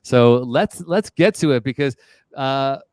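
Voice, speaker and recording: A man's voice: medium pitch, animated, a little slow, a little mellow, no room sound, studio quality. A man hosting a live web show from his office headquarters, upbeat and encouraging. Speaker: host.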